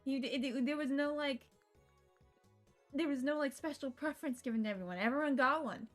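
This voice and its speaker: A woman's voice: high voice, fairly quick. A young woman live streaming a game, rambling and joking casually, swearing now and then and reading text aloud. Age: young adult. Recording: music playing behind the voice. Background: visual novel game music.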